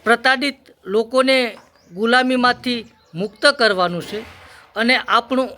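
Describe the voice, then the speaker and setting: Male orator, high forceful voice, deliberate emphatic delivery for formal historical address. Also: slight background noise. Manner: emphatic, deliberate, in short measured phrases. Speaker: speaker for a Buddhist vihara.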